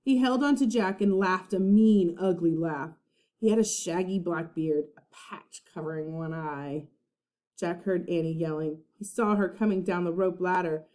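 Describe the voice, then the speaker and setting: A woman reading a children's storybook aloud like a teacher, with expressive, dramatic narration. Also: fairly low pitch, normal speed.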